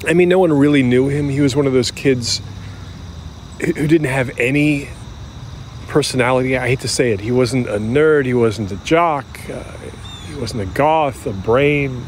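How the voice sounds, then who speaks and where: An actor playing a middle-aged American man who recalls his youth candidly, in a halting, conversational, self-deprecating way, as if interviewed for an audio drama. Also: medium pitch, a little hiss or hum, close to an everyday voice. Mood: candid, rueful. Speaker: interview subject. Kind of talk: naturalistic audio drama acting as interview reminiscence.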